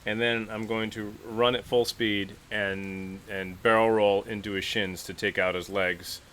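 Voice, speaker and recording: A man's voice: on the low side, level tone, unhurried, a bit bright, a little hiss or hum. A man talking casually as a player in a tabletop role-playing game.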